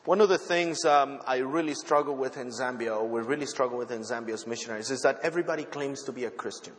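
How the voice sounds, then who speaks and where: A man preaching from a church pulpit, a visiting missionary whose first language is not English. He speaks haltingly, full of fillers and restarts, with self-deprecating asides, at an ordinary pitch for a man. The sanctuary's long reverb trails behind every phrase. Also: slight background noise. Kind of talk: sermon.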